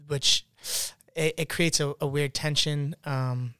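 A man's voice: on the high side, steady tone, slow pace, bright, forceful tone, clear, resonant tone, no echo, clean studio sound. A man of twenty talking casually as a guest in a podcast discussion.

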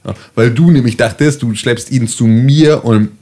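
A man's voice: medium pitch, normal speed, dark tone. A man improvising a roleplay story in casual chat, stammering and repeating words as he goes. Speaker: tabletop roleplay player. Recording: faint noise behind voice.

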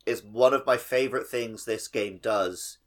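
A man's voice: fairly low pitch, measured pace. A man co-hosting a podcast, chatting casually about a video game. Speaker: podcast co-host.

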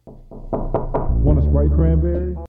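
A man with a beard doing a high, drawn-out woman's voice, pushing cranberry soda on someone. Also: wildly swooping pitch, breathy edge, narrow, tinny audio, soft background music, full character voice. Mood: pushy.

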